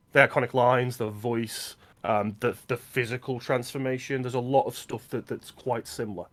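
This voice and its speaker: A man's voice: mid-range voice, a bit bright. A man co-hosting a film podcast, talking casually and with enthusiasm about movies he likes. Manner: enthusiastic, recommending.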